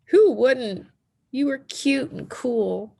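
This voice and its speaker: A woman reading as an earnest teenage girl in love in a coming-of-age drama. Her voice is noticeably husky, with a gravelly creak. The delivery is natural, conversational table-read acting. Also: high-pitched, animated, slow, darkish tone, exaggerated voice. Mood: tender. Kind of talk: screenplay table read, acted dialogue.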